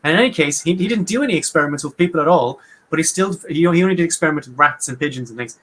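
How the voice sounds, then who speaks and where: Fast-talking Irish man, bright tone. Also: a little high, expressive. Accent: Irish, Munster. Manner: quick, chatty, wry. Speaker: podcast host. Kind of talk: casual explanatory chat.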